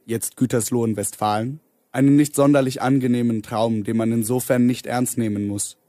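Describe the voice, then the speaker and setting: Man as a dry, mellow formal narrator. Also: mid-range voice, no echo, close to an everyday voice. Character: dry, matter-of-fact narrator of a dreamer's case. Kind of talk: scripted narration.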